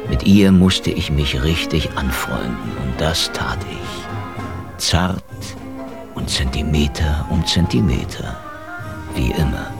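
An actor playing a wisecracking, flirtatious, world-weary agent who narrates and talks in a sardonic noir radio-drama style. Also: male voice, extremely low pitch, expressive, takes it slowly, gravelly, loud music behind the voice, full character voice. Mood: brooding narration. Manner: sardonic, teasing. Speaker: main character and narrator.

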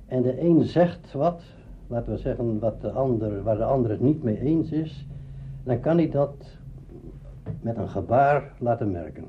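An older man, a veteran radio actor, reminiscing and explaining deliberately in an interview. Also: medium pitch, animated. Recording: dull lo-fi archive recording, faint noise.

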